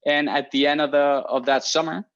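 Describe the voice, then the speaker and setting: A man's voice: a little high, fairly even intonation, normal speed, fairly bright tone. Young man with dull lo-fi sound. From Spain. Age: 20s. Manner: friendly, rambling with frequent restarts and fillers. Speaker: research engineer giving a career talk. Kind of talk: casual talk to students.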